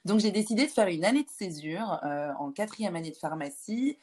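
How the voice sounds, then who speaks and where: Female, 20s, slightly low pitch. Founder-guest in a conversational interview, halting with frequent filled pauses. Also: animated, a little mellow, husky. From Lyon, France.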